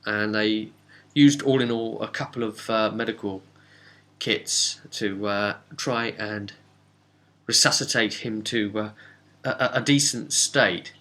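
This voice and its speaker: A man recapping a tabletop game as game master, telling it casually with dramatic, halting pauses. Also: lowish voice, steady tone, pushed and bright.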